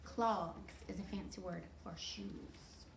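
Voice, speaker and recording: A woman reading a picture book aloud to children in an expressive, playful way, with gasps and asides. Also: lowish voice, slow, creaky. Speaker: library storytime reader. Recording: roomy echo, faint background noise.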